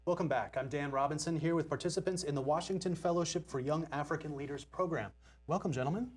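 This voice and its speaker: A man's voice: fairly high pitch, fast talker, slightly rough. A man hosting a studio interview on public television, polite and welcoming. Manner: polite, friendly. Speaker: TV host.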